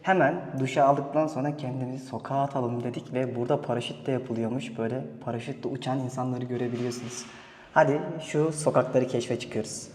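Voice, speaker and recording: Travel vlogger from turkey just chatting to viewers, mellow voice, casual and talky. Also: mid-range voice, steady tone, quick pace. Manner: chatty, conversational, addressing viewers directly.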